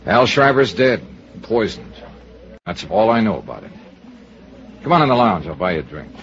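A man's voice: on the low side, sing-song, normal speed, fairly bright tone. A man acting a tough, cynical American insurance investigator in 1950s radio style, clipped and sardonic. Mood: sober. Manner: clipped, sardonic, hard-boiled. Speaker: lead actor and narrator. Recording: muffled old radio recording with music.

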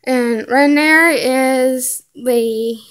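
A high-pitched woman speaks very slowly, pausing often and filling the gaps with "uh" and "um" as she names things one by one. She is filming a home tour on a handheld phone while walking through the rooms of a house. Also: clean tone. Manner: halting, many fillers, lists items one by one. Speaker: vlogger giving a home tour. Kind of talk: casual home tour narration. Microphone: handheld phone camera, filming while walking.

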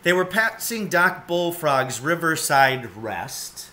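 A man's voice: fairly high pitch, big pitch swings, slow, pushed and bright. A man in his forties reading a children's story aloud as narrator. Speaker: storybook reader. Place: cluttered indoor room at home, seated.

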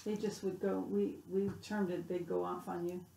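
A woman's voice: fairly low pitch, little pitch movement, normal speed, vocal fry, dark tone. A woman speaking informally as a nurse recounting her experience in a seminar. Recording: distant, echoey room with faint noise.

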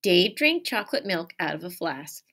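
Animated woman teacher reading to children. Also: on the low side, moderate pace, vocal fry. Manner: engaging young listeners with questions and prompts; expressive, stressing key words. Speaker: elementary school teacher. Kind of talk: animated children's picture-book read-aloud with asides. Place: small indoor room by a window.

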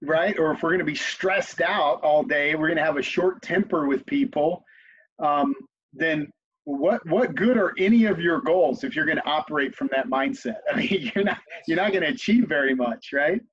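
A slightly high-pitched man in his forties talks in a relaxed, reflective way, circling back over his words as he gathers his thoughts. He is on a video call from a home office, and the webcam audio sounds dull and lo-fi. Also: normal speed, slightly rough. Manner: reflective, repeats words while thinking. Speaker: company CEO, guest. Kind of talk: conversational interview.

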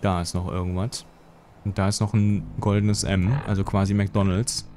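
A man's voice: low-pitched, little pitch movement, normal speed. A young man streaming a game from his home setup through a headset mic, chatting loosely with his viewers and answering their messages. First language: German. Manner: chatty, rambling, reads and answers chat. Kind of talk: casual live-stream chat while gaming. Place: home gaming room. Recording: faint game music underneath.

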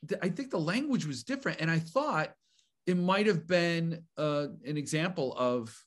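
A man hosting a book channel interview, enthusiastic and halting, full of fillers and restarts. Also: fairly high pitch, animated. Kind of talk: casual interview conversation.

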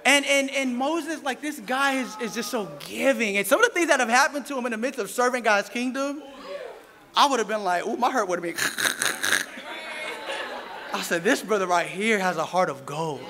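Animated high-voiced man preaching in a church. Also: moderate pace. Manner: animated, gesturing at the pulpit, playful asides to the congregation. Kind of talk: sermon. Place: church sanctuary, indoors. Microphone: stand mic at a pulpit. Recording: reverberant church hall with faint congregation.

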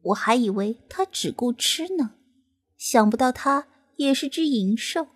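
Mischievous fantasy beast girl, acted by a woman narrator: goading, coy, animated, slightly husky. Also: fairly high pitch, unhurried. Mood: teasing. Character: mischievous, teasing female beast demon from Chinese fantasy.

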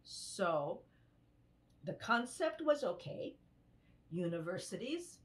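An older woman playing an earnest survivor and human rights activist in a dramatized testimony, speaking expressively in halting phrases. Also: medium pitch, sing-song. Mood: reflective, earnest. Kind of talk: dramatized first-person testimony monologue. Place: indoors in a home room, on a video call.

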